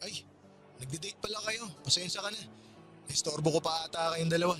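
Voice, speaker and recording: A man's voice: fairly high pitch, a little fast, music in the background, lightly acted. A man playing a friendly, apologetic and generous buddy, with a Filipino accent, in radio drama style.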